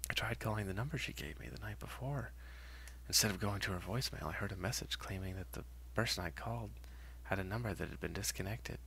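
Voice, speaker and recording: A man narrating a first-person horror story in a dramatic, hushed and halting way. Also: on the low side, vocal fry, a little hiss or hum, poor audio.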